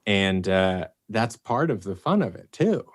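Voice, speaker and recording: A man with a fairly low voice, talking loosely and easily in a podcast chat. He wanders through his thoughts, doubles back on words, and perks up whenever he acts out what someone said. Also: a little slow. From Los Angeles area, United States. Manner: rambling, restarts and fillers, animated when quoting himself. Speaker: producer and writer of an audio drama. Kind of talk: casual podcast interview.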